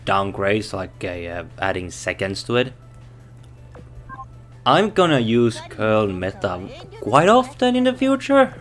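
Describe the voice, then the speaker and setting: Slow, hesitant man. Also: fairly low pitch. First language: Finnish. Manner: hesitant, thinking aloud, correcting himself. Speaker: game reviewer. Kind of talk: unscripted game review.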